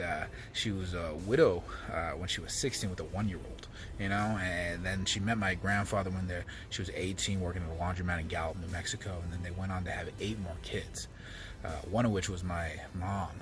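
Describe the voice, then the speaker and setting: An American man telling reflective personal stories as a storyteller, speaking haltingly and often repeating words as he searches for the next phrase. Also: deep voice, a bit bright. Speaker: comedian and storyteller.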